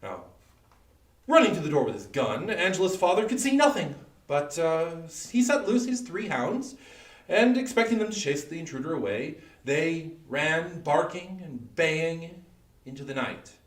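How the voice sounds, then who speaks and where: A man telling a horror folktale dramatically, deliberate and suspenseful, with long pauses. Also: on the high side, big pitch swings. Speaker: storyteller. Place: indoor room, live online performance. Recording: echoey room, distant microphone.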